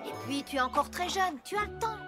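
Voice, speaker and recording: A high cartoon voice playing a young adult farm pony, the protective big sister. She is firm, familiar and reassuring. Loud music runs behind her. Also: animated, brisk. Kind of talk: French cartoon dub.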